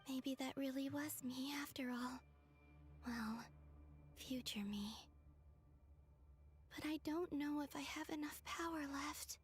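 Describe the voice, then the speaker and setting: A gentle young moon maiden from a video game. Her high voice is soft and breathy, and she muses slowly over faint music. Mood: worried. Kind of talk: video game voice acting, inner monologue.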